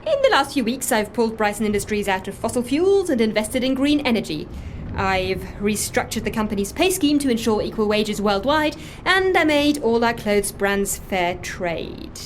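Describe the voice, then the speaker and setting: A woman's voice: fairly high pitch, lively intonation, moderate pace, slight background noise, natural voice. An actress playing an earnest, guilt-ridden woman who confesses and justifies herself in a matter-of-fact way, in naturalistic audio drama. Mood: defensive, earnest. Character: earnest, guilt-ridden woman secretly running a dead man's life. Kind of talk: audio fiction drama acting, naturalistic dialogue.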